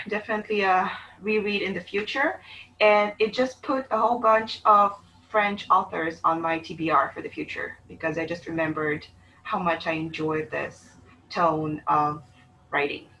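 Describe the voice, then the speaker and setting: A woman from Bulgaria, co-hosting a casual book chat, talking in an animated, rambling way that often breaks off and restarts. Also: mid-range voice, normal speed, highs cut off. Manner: chatty, animated, self-interrupting. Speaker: book channel co-host.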